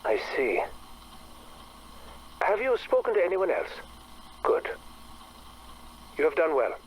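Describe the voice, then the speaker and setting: Casting: obsessive, bitter scientist for game audio logs; formal, theatrical diction, breathy and brooding, slightly noisy recording. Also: male voice, sits high, wildly swooping pitch, slow pace, heavily acted. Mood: terse, guarded. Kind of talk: dramatic monologue for a game audio log.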